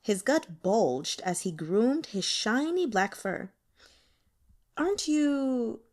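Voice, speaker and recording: Woman audiobook narrator, very lively intonation, expressive fiction reading. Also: medium pitch, takes it slowly. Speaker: audiobook reader.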